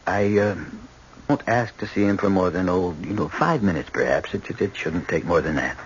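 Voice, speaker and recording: Halting, low-voiced middle-aged judge. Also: male voice, lively intonation, slightly rough. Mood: pleading. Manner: halting, stammering, hesitant. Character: troubled middle-aged judge, apologetic and earnestly pleading. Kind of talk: 1970s radio drama acting. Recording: dull, noisy old radio broadcast.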